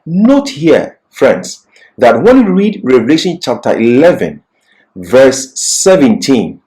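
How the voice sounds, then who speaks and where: A man's voice: medium pitch, very animated, slow pace, dark tone, rough recording. A man giving an expository Bible lecture as a teacher, didactic and emphatic, posing questions and answering them himself.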